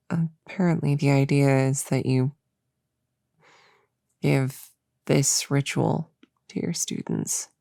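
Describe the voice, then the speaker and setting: A woman playing a flustered, earnest young novice witch in an ASMR audio roleplay, soft-spoken and halting, slipping into whispers. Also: rumbling low, vocal fry, dry recording, studio quality, full character voice.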